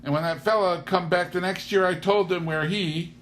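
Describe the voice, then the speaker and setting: A man's voice: high voice, fairly even intonation, normal speed, a bit bright, pitched above the performer's own voice. A man voicing a shy, coarse middle-aged farm wife in a storybook reading, with rustic grammar and a rambling, trailing way of talking, on a noisy recording. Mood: flustered, rambling. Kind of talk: storybook character voice in an audiobook reading.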